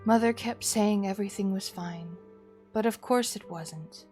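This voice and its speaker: A woman streamer reading a horror visual novel aloud as expressive narration. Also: medium pitch, a little slow. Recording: music behind the voice. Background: music.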